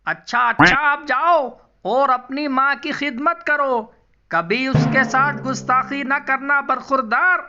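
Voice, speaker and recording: Woman as a gullible, kindly man, high-pitched. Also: slow pace, dull audio, music in the background. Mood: fatherly advising. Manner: earnest, astonished or fatherly admonishing. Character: gullible, kindly, pious man buying a donkey, fond of advising.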